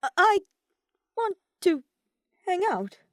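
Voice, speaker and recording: A woman's voice: extremely high pitch, big pitch swings, slow pace, a bit bright, dry recording, studio quality, voice pushed up, heavily acted. A woman voicing a theatrical teenage girl who calls herself a fallen angel, grandiose and prone to flustered stammering.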